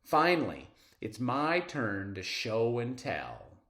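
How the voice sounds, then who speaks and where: A man reading a children's story aloud expressively, with dramatic pauses and drawn-out words. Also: medium pitch, huge pitch swings, a bit bright. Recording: roomy, echoing sound.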